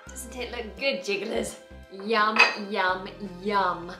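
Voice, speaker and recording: A woman's voice: medium pitch, steady tone, takes it slowly, over loud music. A young woman presenting a playful children's cooking show in a home kitchen, bubbly and sing-song. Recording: echoey kitchen.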